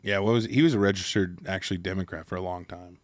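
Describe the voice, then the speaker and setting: A man's voice: deep voice, fairly even intonation, fast. A man co-hosting a podcast, chatting casually and cracking goofy jokes. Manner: jokey, playful, goofy. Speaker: podcast co-host. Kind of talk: casual chat.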